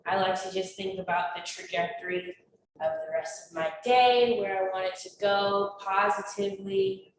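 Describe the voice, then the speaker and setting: Woman fitness instructor, stuffy from a cold, unhurried cues, far off the mic with faint hiss. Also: medium pitch, fairly even intonation. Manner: slow, encouraging counting cues. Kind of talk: guided exercise class with counting. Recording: very echoey, distant, faint noise.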